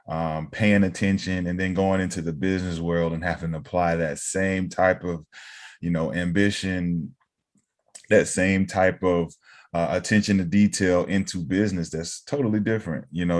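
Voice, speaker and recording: A man hosting a podcast, introducing his guest in a rambling way with repeated phrases. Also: deep voice. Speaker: podcast host. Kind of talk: casual podcast interview.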